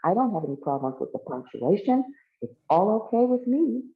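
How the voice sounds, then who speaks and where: A woman's voice: mid-range voice, sing-song, normal speed, gravelly, a little hiss or hum, pitched above the performer's own voice. A woman voicing a cheerful, easily thrilled male character in a story, gushing and exclamatory. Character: excitable, cheerful male interjection, always thrilled. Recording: thin phone-like band-limited sound.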